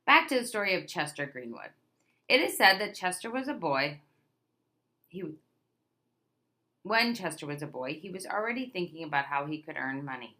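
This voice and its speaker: A woman reads a nonfiction picture book aloud to children. Her voice sits a little low but is clearly bright and forward. She tells it expressively, with emphatic stresses as if addressing young listeners directly. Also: big pitch swings, moderate pace, long pauses. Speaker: read-aloud presenter.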